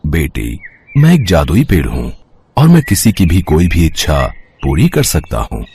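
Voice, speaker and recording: A man's voice: extremely low pitch, wildly swooping pitch, measured pace, a little mellow, a little hiss or hum, exaggerated voice. A man playing a kindly, fatherly magical tree in a Hindi cartoon story. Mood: kindly, reassuring.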